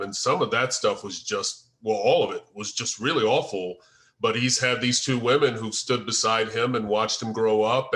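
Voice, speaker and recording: A man hosting an informal group discussion, explaining things in an earnest, deliberate way. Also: mid-range voice, a bit bright. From United States. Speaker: host and co-writer of a script table read.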